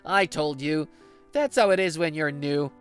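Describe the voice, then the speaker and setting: A man playing an easygoing, patient office senior in an English manga dub, with an expressive, conversational delivery. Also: on the high side, measured pace, soft background music, lightly acted.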